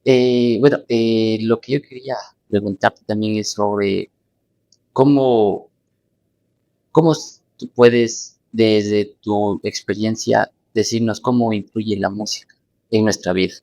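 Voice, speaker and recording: A man's voice: lowish voice, steady tone, slow, warm tone, no echo, highs cut off. A man hosting a podcast, asking questions in a casual, conversational interview style. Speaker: podcast host.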